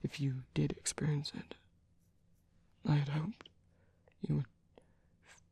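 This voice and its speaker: A man playing a gentle, caring vampire priest in a whispered ASMR roleplay, speaking slowly and tenderly with soft, hesitant reassurance. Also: fairly high pitch, level tone, dry recording, heavily acted. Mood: reassuring, tender. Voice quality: soft, breathy, husky whisper.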